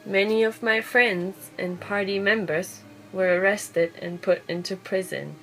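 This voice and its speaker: A woman's voice: mid-range voice, fairly even intonation, unhurried, fairly bright tone, poor audio. Woman narrating a life story over music. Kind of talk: scripted first-person biographical narration. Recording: music behind the voice. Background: background music.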